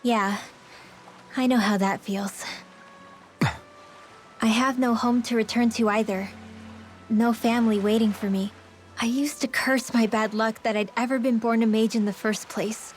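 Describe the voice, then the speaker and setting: A woman's voice: on the high side, steady tone, measured pace, pauses often, soft background music, lightly acted. A woman voicing a caring, orphaned young mage girl in an English video game dub, speaking casually and candidly. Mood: wistful, candid. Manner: casual, candid, gently encouraging.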